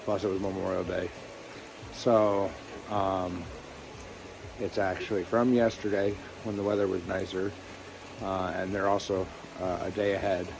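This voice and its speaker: Low-voiced male hiking vlogger, noisy outdoors. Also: flat delivery, unhurried, vocal fry. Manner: rambling, thinking aloud. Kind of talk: casual video diary talk. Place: outdoors by a road or trail. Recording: outdoors with loud highway traffic noise.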